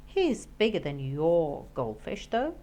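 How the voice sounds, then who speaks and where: A woman's voice: fairly low pitch, very animated, slow, mellow. A woman voicing a cheeky, eager young boy bargaining in a storybook.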